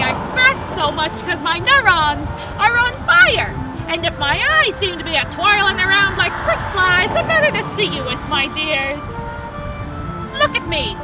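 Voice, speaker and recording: A raspy, sky-high and wildly swooping voice of an eccentric street woman, proud of her own madness, played by a woman. She rambles and needles passersby head-on, as if on a city street. The voice sits far from the mic and is half buried under loud music. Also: exaggerated voice. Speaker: stage actor. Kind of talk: one-woman stage monologue.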